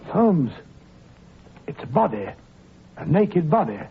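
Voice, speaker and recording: A jittery, fretful companion in an old radio play, his high voice swooping up and down. The sound is thin and tinny like an old broadcast, with faint hiss behind. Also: sing-song, slow pace, exaggerated voice. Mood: horrified. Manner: jittery, fretful, easily alarmed. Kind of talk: 1940s radio acting.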